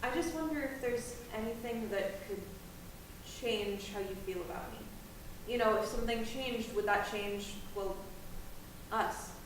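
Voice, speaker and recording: A young woman playing a guarded, anxious grown daughter who talks in halting, hesitant bursts with her mother. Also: on the high side, lightly acted. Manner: hesitant, halting, then heated. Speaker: college student. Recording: echoey room, distant mic, noisy ambience.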